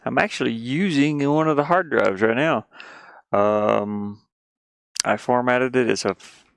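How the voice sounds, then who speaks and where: A man's voice: on the low side, vocal fry. A man streaming casually, rambling and thinking aloud as he goes. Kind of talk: casual live stream chat. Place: inside a van he lives in.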